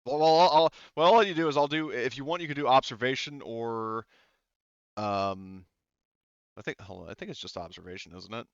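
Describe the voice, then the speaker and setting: A man's voice: mid-range voice, normal speed, smooth, no echo, highs cut off. A man running a tabletop game as game master, rambling and thinking aloud with many fillers.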